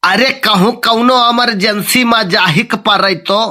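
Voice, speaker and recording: A man's voice: high voice, fairly even intonation, measured pace, pushed and bright, full character voice. A man voicing a grumbling, exasperated village father in a comic cartoon, with a sarcastic, complaining manner and an Awadhi accent.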